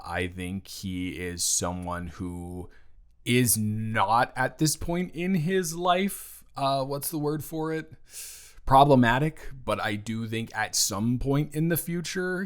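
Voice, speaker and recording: A man acting out a sincere young guy in an intimate audio roleplay, speaking straight to the listener at a middling pitch. He is protective and smitten, and he stammers through halting, flustered phrases as he tries to be earnest. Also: lightly acted.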